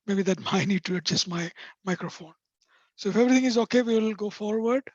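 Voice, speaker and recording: High-voiced man with an accent on a video call. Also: brisk, a little mellow, dry recording. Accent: non-native English accent. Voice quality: high-pitched, sometimes hard to hear. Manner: hesitant, many fillers. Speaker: vocational rehabilitation presenter. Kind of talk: webinar presentation. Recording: dull dry video call audio.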